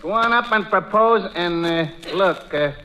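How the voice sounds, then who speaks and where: An actor playing a blunt, loyal, slangy sidekick with an uneducated, wisecracking manner in 1940s radio comedy. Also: male voice, high-pitched, a little slow, pushed and bright, low-quality recording. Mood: urging, incredulous. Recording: vintage radio recording with faint noise.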